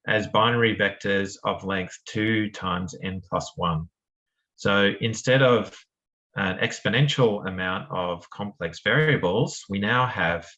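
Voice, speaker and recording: A man's voice: lowish voice, bright, forceful tone, muffled recording. A man with a strong Australian accent giving a friendly academic seminar talk, with frequent fillers and restarts. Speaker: lecturer.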